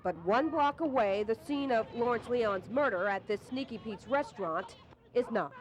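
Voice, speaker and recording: A woman with a fairly high voice narrates a scripted TV news report as the reporter. There is faint noise behind the narration. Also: normal speed, low-quality recording.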